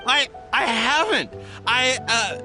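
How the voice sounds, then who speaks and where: A man's voice: extremely high pitch, slow, music in the background. A man playing a nervous, shifty merchant in an anime game, flustered and pleading as he speaks. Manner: stammering, flustered, protesting.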